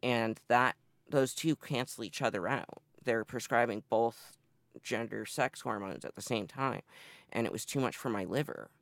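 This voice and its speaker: A man's voice: medium pitch, moderate pace, gravelly, fairly bright tone, no room sound. A man in his twenties from Massachusetts, candidly telling his life story in an interview. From northeastern Massachusetts. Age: 20s. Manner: candid, matter-of-fact storytelling. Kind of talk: oral history interview.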